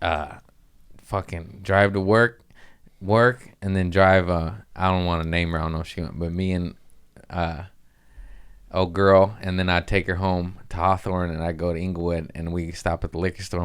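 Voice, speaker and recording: Man, low voice, podcast host, casual comedic chat, slangy, profane, joking. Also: clean tone.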